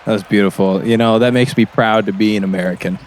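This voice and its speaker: A man's voice: on the low side, steady tone, quick pace, lightly acted. A man playing a folksy, easygoing small-town radio colour commentator, chatty and hesitant in a comedy broadcast. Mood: folksy, pleased. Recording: faint gym noise behind. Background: faint gym noise.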